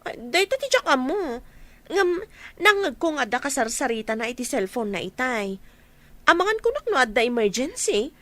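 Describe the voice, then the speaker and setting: Woman acting chatty young bestie in audio drama, high bouncy voice, dry sound. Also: big pitch swings, moderate pace, bright, forceful tone, no room sound, heavily acted. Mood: curious, reassuring. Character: gossipy, supportive young female best friend.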